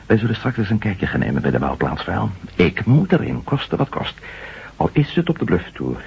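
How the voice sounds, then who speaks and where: An actor playing a wry, teasing reporter and former astronaut in a conversational radio drama. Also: male voice, on the low side, wildly swooping pitch, normal speed, creaky, slightly rough, full character voice. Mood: wry, scheming. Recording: dull vintage 1970s radio recording.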